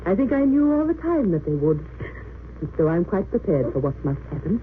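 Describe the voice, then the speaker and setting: A woman's voice: on the low side, very animated, a little fast. An actress in an old-time radio drama playing a composed, resolute English nurse on trial for her life, speaking plainly and earnestly. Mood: calm, resigned. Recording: tinny narrow-band old radio recording, hissy.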